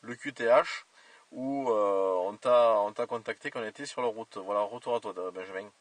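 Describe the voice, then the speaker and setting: A man working as an amateur radio operator, chatting casually in a hesitant, halting way. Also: medium pitch, dry recording. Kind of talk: casual radio contact chat. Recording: voice distant from the camera microphone.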